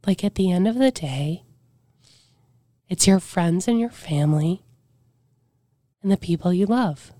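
Soft-voiced woman whose voice can't get loud. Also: on the low side, animated, measured pace, long pauses, no echo. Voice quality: weakened voice that cannot get loud. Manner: earnest, reflective, with many "like" fillers. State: voice drops to a whisper at times. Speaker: podcast guest. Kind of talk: candid personal storytelling.

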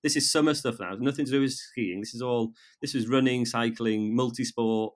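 Halting North Welsh man in his fifties. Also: medium pitch. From North Wales. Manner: halting, with many repeated words and restarts. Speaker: guest. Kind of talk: casual interview conversation.

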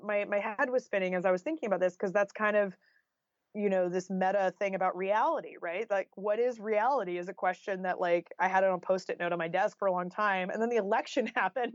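A woman's voice: medium pitch, no room sound. Female author, conversational and reflective guest; dry, slightly muffled lo-fi recording. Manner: conversational, thoughtful, frequent "like" and "you know". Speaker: interview guest, nonfiction author. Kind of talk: casual, reflective interview talk.

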